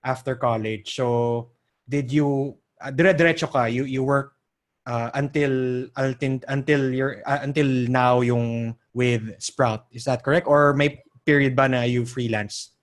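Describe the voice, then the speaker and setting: A man in his forties from the Philippines, hosting a podcast interview in a relaxed, casual way. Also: medium pitch, unhurried. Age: 40s. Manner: relaxed, laughs often, short backchannels. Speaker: podcast host.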